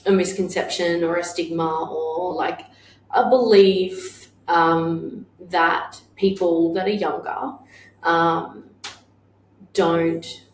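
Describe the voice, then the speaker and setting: A woman in her thirties, a business owner co-hosting a casual podcast chat, blunt and quick to swear and laugh. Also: on the low side, fairly even intonation. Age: 30s. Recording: echoey room with faint noise.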